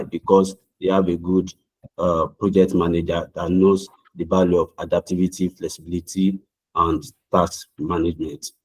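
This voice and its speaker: A man's voice: sits low, slightly rough, rough recording. A young man from Nigeria with a Nigerian accent, answering mock visa interview questions over a video call in an earnest, formal way, often restarting his words.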